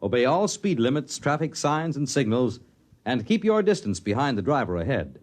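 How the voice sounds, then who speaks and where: Old-time radio announcer guy, very lively up and down delivery, measured and instructive, vintage recording. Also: mid-range voice. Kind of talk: 1950s radio announcing, scripted public service message.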